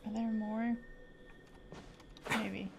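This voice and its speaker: A woman's voice: medium pitch, little pitch movement, extremely slow, vocal fry. A woman streamer giving casual live gameplay commentary, with a playful, cutesy way of playing with words. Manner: playful, cutesy word play. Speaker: game streamer. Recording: faint background noise and game ambience.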